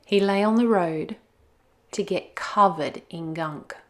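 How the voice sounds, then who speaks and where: A woman's voice: lowish voice, slow. A woman narrating a children's picture book expressively, as a read-aloud host.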